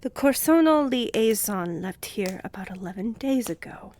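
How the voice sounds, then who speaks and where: A woman playing a haughty female vampire noble in an audio roleplay, menacing and seductive, imperious and teasing, with breathy whispered drops. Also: medium pitch, animated, unhurried, a little mellow, lightly acted. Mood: teasing, composed. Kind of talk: dramatic audio roleplay acting. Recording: echoey hall-like reverb.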